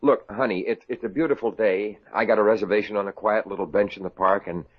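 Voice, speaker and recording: A man's voice: lowish voice, steady tone, quick pace, natural voice. An actor playing an eager, bumbling young salesman and boyfriend in a 1950s radio comedy, stammering, on a muffled old broadcast. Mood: lovestruck. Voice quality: stammering, goofy.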